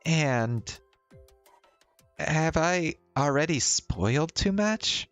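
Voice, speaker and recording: A man's voice: fairly high pitch, lively intonation, extremely slow, fairly bright tone, smooth, no echo, faint music behind, pitched above the performer's own voice, exaggerated voice. A man voicing a friendly, bookish young woman in a visual novel, reading her lines like an eager, teasing storyteller. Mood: enthusiastic.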